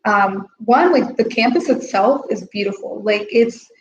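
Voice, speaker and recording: A woman's voice: mid-range voice, expressive, unhurried, a little hiss or hum. Brief: young woman, chatty student guest, muffled roomy sound. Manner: chatty, says "like" a lot. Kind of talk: casual live Q&A chat.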